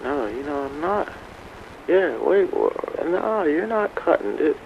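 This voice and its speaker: A man's voice: a little high, big pitch swings, measured pace, vocal fry. A man chatting casually over CB radio in folksy slang, through a muffled, noisy transmission. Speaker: radio operator.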